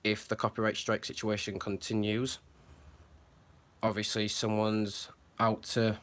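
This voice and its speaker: A man with a fairly low voice talks off the cuff about a matter that worries him. He stops and restarts often, filling gaps with ums. His tone stays earnest and concerned. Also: monotone, measured pace, fairly bright tone. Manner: hesitant, many fillers and restarts. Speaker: channel host. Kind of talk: unscripted commentary to camera.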